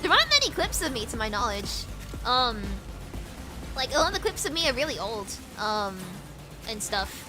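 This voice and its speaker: A woman's voice: a little high, very animated, moderate pace, over music. A young woman streaming a game in casual chat, bubbly and giggly, rambling and repeating her words, switching between British and American accents. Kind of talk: casual chat while gaming.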